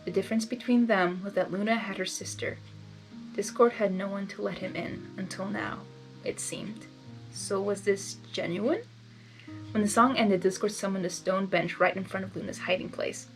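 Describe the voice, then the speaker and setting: A woman reading fan fiction aloud in expressive storybook narration, with informal asides. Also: mid-range voice, moderate pace, faint music behind, poor audio.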